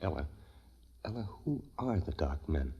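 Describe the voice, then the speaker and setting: A man acting a sensitive, anxious poet in a 1940s radio drama, narrating his diary in an earnest, halting, dramatic way. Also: sits low, big pitch swings, gravelly, mellow, slightly rough, dry recording, highs cut off, exaggerated voice. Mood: anxious questioning. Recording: old 1940s radio recording with music.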